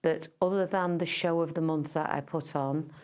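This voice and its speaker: A woman's voice: low voice, fairly even intonation, moderate pace, darkish tone. A middle-aged woman entertainer chatting casually in an interview, rambling with many fillers and restarts. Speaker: entertainer and singer.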